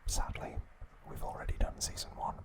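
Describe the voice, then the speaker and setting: A man hosting ASMR trivia, speaking softly in short, unhurried phrases with gentle pauses. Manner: unhurried, soft, pausing between short phrases. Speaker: ASMR host. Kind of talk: whispered ASMR trivia narration.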